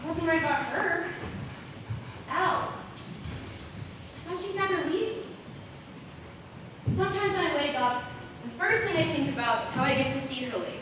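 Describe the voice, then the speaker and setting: A young female student actor playing a gentle, wistful girl haunted by loss in a school stage play. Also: very high voice, normal speed, pauses often. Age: teenager or young adult. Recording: echoey noisy stage, distant mic.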